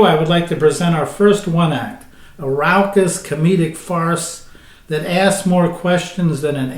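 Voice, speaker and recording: A man's voice: fairly high pitch, a little mellow. A man presenting a theater event with a scripted, theatrical introduction. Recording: echoey, roomy sound.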